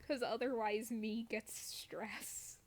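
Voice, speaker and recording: A woman's voice: fairly high pitch, fairly even intonation, slow pace. A woman streamer chatting casually over gameplay in a playful, wryly self-deprecating way.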